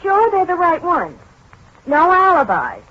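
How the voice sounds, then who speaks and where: A woman's voice: extremely high pitch, wildly swooping pitch, measured pace, exaggerated voice. A woman playing a skeptical, wisecracking woman with a clipped, sardonic delivery in a 1940s radio drama. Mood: skeptical, needling. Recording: muffled 1940s radio recording.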